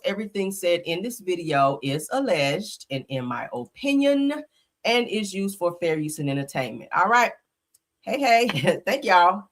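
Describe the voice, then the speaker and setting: A woman's voice: sits low, a bit bright. A woman hosting a casual live stream, sniffling from a slight cold, greeting her viewers and chatting with them in a loose, easygoing way. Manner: chatty, greets viewers often, says y'all.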